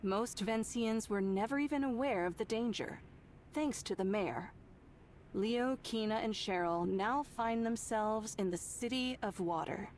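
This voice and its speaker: A woman's voice: a little high, a little slow, darkish tone, slight background noise, lightly acted. A woman playing a composed storyteller, reading scripted narration for a video game. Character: composed female storyteller recapping an adventure.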